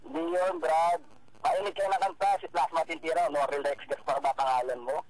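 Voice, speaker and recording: Fast-talking defensive Filipino man on phone. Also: fairly high pitch. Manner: defensive, repeats himself, tag questions. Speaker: rapper receiving a prank call. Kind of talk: argumentative phone conversation. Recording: phone call with crowd noise behind.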